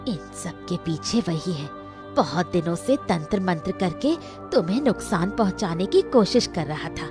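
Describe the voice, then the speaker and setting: A woman's voice: fairly low pitch, animated, moderate pace, music in the background, natural voice. A woman voicing a supportive village sister-in-law with a grudge in a Hindi cartoon, emphatic and encouraging. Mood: accusing. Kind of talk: Hindi cartoon story dialogue.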